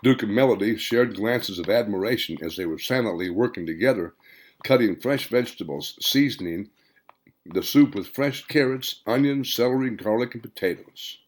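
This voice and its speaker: A man's voice: fairly low pitch, lively intonation, moderate pace. A man reading a scripted story aloud as an audiobook narrator. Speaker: author reading own audiobook.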